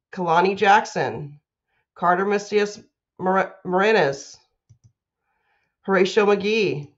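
A woman's voice: lowish voice, muffled recording. A woman, a school principal reading aloud and making announcements to children.

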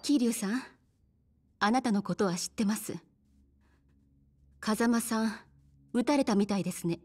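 A mysterious bar mama from a video game, a bit high, polite and composed. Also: female voice, a little slow. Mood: grave, knowing. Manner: polite, composed feminine speech. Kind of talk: Japanese video game voice acting.

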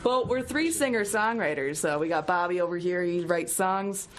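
A woman's voice: fairly low pitch, normal speed. A woman, a singer-songwriter guest, chatting casually in a radio interview. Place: radio studio.

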